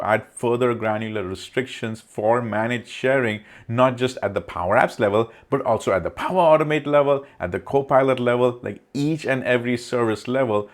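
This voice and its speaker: A man narrating an informal tech explainer over slides, talking directly to the viewer in a conversational way. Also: mid-range voice. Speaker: tech video presenter.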